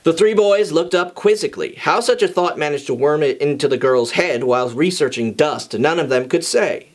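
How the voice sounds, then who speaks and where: A man's voice: on the high side, normal speed. A man narrating a story in an informal, conversational way, as the host of a reading. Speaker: fan fiction reader and channel host.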